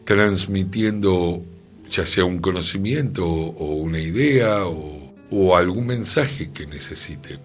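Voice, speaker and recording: A man's voice: low voice, slow. A man with an Argentine accent hosting a radio talk on culture in a musing, conversational manner. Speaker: radio host. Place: radio studio. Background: music.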